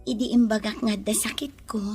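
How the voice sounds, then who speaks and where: A woman with an Ilocano accent playing a gentle, trusting, ailing city businesswoman in a radio drama. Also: on the high side, steady tone, a little fast, faint music behind, natural voice. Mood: wistful.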